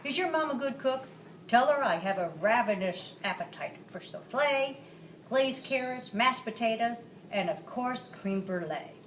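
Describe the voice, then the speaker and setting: A woman's voice: a little high, lively intonation, a little slow, pitched above the performer's own voice. A woman voicing a genteel, cultured male dragon in a children's storybook, chatty and flowery in manner. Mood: gracious. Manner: chatty, flowery, self-satisfied. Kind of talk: children's storybook read-aloud. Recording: roomy, distant mic, faint noise.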